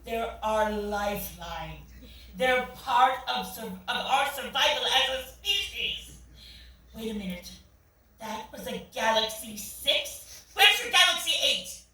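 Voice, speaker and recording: An actress plays a haughty, fussy woman in a stage comedy. Her bright voice swoops widely as she scolds with condescending indignation. She is recorded from afar in an echoey theatre. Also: a little high, animated, slow pace, slight background noise, full character voice. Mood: indignant, scolding. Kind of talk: stage acting in a comedy play reading. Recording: echoey theatre, far mic.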